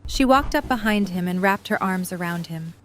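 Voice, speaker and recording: A woman's voice: on the low side, expressive, moderate pace, low-quality recording. Female story narrator, faint background noise.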